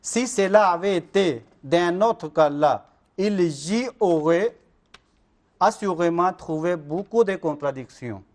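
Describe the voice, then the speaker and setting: A man, speaking in a fairly high voice. He argues insistently as an interview guest, circling back to check that he is understood. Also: lively intonation, slow pace, a little mellow. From Pakistan. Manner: insistent, repeats "vous comprenez?". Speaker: Ahmadi missionary guest. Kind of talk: religious interview argument.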